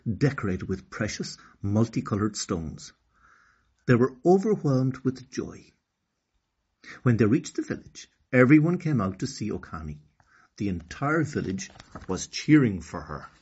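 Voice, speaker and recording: A man narrating a children's story as an expressive storyteller. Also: on the low side, husky, muffled recording.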